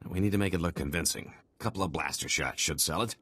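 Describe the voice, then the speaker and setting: Deep, husky male con artist. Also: fast talker, fairly bright tone, no room sound. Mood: upbeat, slick. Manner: theatrical, wheedling salesman patter. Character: slippery middle-aged male con-artist fugitive, boastful wheeler-dealer. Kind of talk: video game voice acting.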